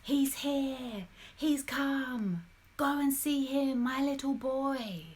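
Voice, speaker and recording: A woman plays God as a thrilled new daddy in a children's storybook. The voice is lifted high and loud, calling out with exultant pride. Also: takes it slowly. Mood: overjoyed, proud. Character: God as an overjoyed, proud new father.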